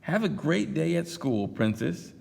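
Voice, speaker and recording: A man reading the lines of a gentle, loving father from a picture book, speaking reassuringly to his daughter. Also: on the high side, sing-song, a little slow, creaky, slightly rough, big-room sound, pitched above the performer's own voice, full character voice. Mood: tender. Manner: reassuring and tender. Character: loving, gentle dad who sews dresses.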